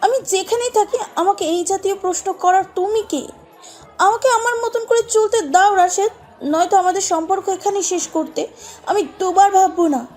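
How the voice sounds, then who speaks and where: Woman acting defiant, very high-pitched young-sounding woman. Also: normal speed, darkish tone. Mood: angry, defiant. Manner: terse, defensive, clenched. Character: distressed, defiant woman guarding her independence. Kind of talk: Bengali audio story acting.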